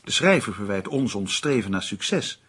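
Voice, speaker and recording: An actor playing a brisk, matter-of-fact, sceptical husband in a Dutch radio drama. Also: male voice, on the low side, sing-song, a bit bright, breathy edge, exaggerated voice. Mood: firm, reasoning. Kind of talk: Dutch radio play acting.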